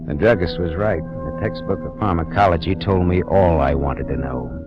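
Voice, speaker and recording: An actor playing a wry, world-weary private detective in a 1940s radio noir drama, with clipped, dramatic narration. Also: male voice, low voice, normal speed, close to an everyday voice. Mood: tense narrating. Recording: dull 1940s radio recording, music behind.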